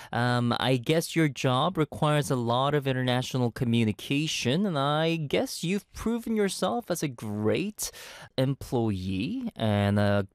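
A man's voice: medium pitch, no room sound, studio quality. A man from Canada whose first language is Korean, hosting a radio show and chatting in a friendly way as he reads listener messages. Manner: chatty, friendly, laughs easily. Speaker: radio host.

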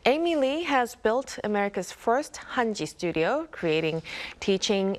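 Female broadcast interview host. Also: mid-range voice.

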